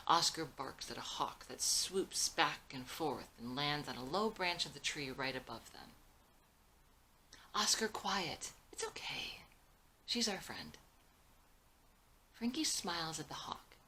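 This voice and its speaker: A woman narrates a film script, reading out action and scene headings. Her voice sits very low and has a bright, crisp edge, with faint noise behind it. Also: low-quality recording. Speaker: screenwriter reading own script.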